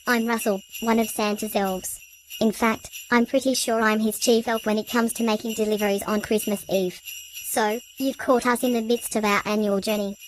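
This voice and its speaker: A woman's voice: a little high, little pitch movement, moderate pace, poor audio. A woman plays Santa's chief elf as a guest host, with little rise and fall in the voice. The delivery is scripted and in character. Faint noise and jingling bells sit behind the voice. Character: Santa's chief elf named Russell, cheerful delivery helper.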